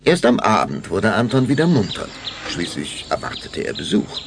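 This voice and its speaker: A man's voice: on the low side, very animated, normal speed, noisy background. A man narrating a children's audio drama in a scripted storytelling style. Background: music.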